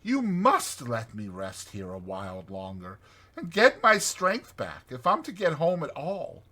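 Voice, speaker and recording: A man's voice: medium pitch, huge pitch swings, measured pace, pushed and bright, full character voice. A man voicing a timid, weary little mole with a feeble, plaintive voice in a dramatized children's storybook. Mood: weary, apologetic.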